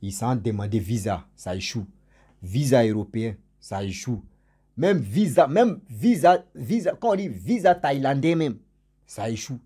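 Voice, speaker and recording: Husky man with a cold telling a story. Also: expressive, measured pace. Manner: emphatic and repetitive, swinging between loud stresses and trailing low endings. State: has a cold and a cough. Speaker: storyteller for his channel's subscribers. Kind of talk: casual spoken storytelling.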